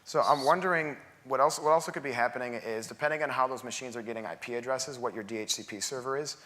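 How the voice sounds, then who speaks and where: A man's voice: medium pitch, fast. Methodical man presenting on a stage. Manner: explanatory, steady, methodical. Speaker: conference presenter. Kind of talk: technical Q&A answers. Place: large theater stage.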